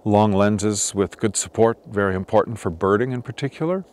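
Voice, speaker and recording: A man's voice: fairly low pitch, normal speed, a little mellow. An older man in his sixties or beyond giving off-the-cuff advice in short, clipped phrases, recorded outdoors. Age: 60s or older. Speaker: experienced bird photographer giving tips. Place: outdoors, open grassland.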